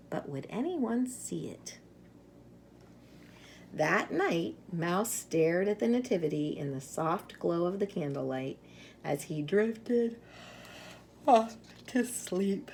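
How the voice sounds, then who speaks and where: A woman storyteller who reads a children's picture book aloud expressively, with dramatic pauses. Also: fairly low pitch, gravelly, darkish tone, a little hiss or hum. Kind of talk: expressive read-aloud storytelling with casual introduction.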